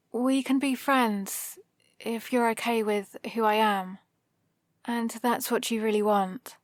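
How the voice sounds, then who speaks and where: A British woman playing a guarded female supervillain who is awkwardly soft on her hero, in a hesitant, defensive ASMR roleplay style. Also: on the high side, dry recording, clean studio sound. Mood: guarded, conceding. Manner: hesitant, defensive, softly exasperated.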